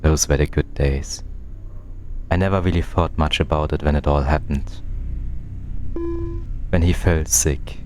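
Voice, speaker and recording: A man reading as a very deep, melancholy twin. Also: fairly even intonation. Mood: wistful, somber. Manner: confessional, measured, pausing. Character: introspective, melancholy nameless twin narrating a visual novel. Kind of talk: scripted narration. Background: loud game music.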